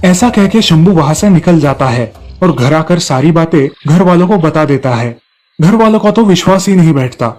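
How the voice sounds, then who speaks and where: Casting: male narrator for children's moral tales, fairly high voice, music bed. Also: lively intonation, moderate pace, darkish tone. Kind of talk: scripted children's story narration.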